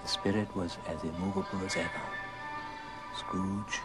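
A man's voice: deep voice, fairly even intonation, moderate pace, lightly acted. A man narrating an old radio ghost drama as a grave storyteller, solemn and dramatic. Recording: old record with music underneath.

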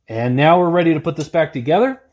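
A man's voice: on the high side, animated, fast, a little mellow, dull audio. Chatty man demonstrating workshop repairs. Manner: chatty, plain-spoken, self-deprecating. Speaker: welding video host. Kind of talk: casual how-to demonstration. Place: indoor workshop with corrugated metal wall.

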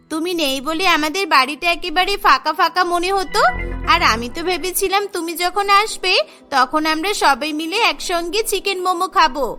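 A woman's voice: very high-pitched, sharp tone. A woman voicing an eager, affectionate and proud little schoolgirl in a cartoon, chatty and earnest.

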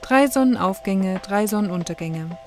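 A woman's voice: mid-range voice, animated, moderate pace. A woman reading a scripted travel narration for a vlog. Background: music.

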